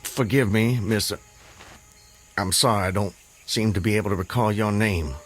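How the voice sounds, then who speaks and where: A man's voice: on the low side, fairly even intonation, normal speed, soft background music, close to an everyday voice. An actor playing a bewildered, wary, courteous man on the run in a radio drama, speaking hesitantly and formally. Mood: wary, polite.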